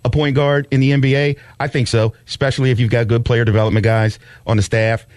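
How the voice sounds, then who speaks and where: A man's voice: mid-range voice, flat delivery, fast, darkish tone, no echo. A man hosting sports talk radio, giving opinionated, conversational, unscripted commentary. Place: dry radio studio.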